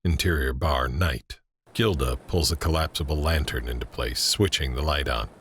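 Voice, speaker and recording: A man's voice: extremely low pitch, normal speed, fairly bright tone. A man reading scripted, screenplay-like narration for an audio drama. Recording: faint ambient noise under narration.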